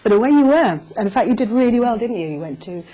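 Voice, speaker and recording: A woman's voice: on the high side, expressive, quick pace, mellow, rough recording. A woman interviewing on stage in an encouraging, chatty, joking way. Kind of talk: live on-stage conversation. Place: arts centre stage before an audience.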